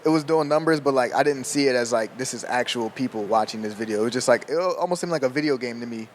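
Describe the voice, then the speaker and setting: A man's voice: medium pitch, quick pace, slight background noise. A man in his twenties chatting casually on a podcast, loose and slangy, laughing as he tells stories. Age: 20s. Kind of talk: casual chat.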